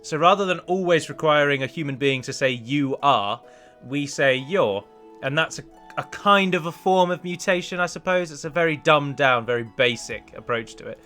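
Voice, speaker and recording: A man's voice: fairly high pitch, lively intonation, normal speed, hardly any pauses, sharp tone, faint music behind. A man giving chatty, self-deprecating commentary as he plays and records a let's play on a close microphone. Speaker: gaming video host and mod developer.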